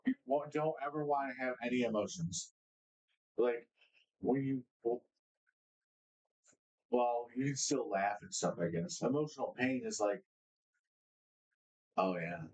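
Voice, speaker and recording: A man in a casual podcast chat with a mid-range voice. He's playful and slangy, and his pitch jumps around as he keeps the conversation moving and asks the questions. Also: a little slow, long pauses, a little mellow, poor audio. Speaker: podcast co-host.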